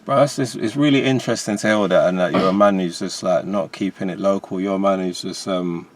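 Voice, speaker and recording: A man with a fairly low voice hosts a relaxed conversation. He speaks informally, with slang, and is agreeable toward his guest. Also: brisk. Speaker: podcast host, interviewer. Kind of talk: casual chat.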